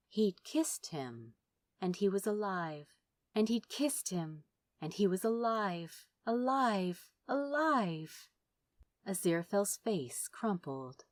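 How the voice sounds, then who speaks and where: Low-voiced, very expressive woman narrator. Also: no room sound. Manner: emotive, dramatic pauses. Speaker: fan fiction audiobook narrator. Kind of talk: expressive dramatic scripted narration.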